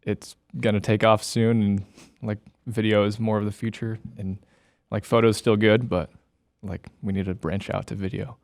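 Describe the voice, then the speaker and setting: A man's voice: lowish voice, little pitch movement, moderate pace, hardly any pauses, a little mellow, dry recording. A young man from Iowa, a student videographer, answering interview questions hesitantly with lots of fillers in a podcast studio. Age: young adult.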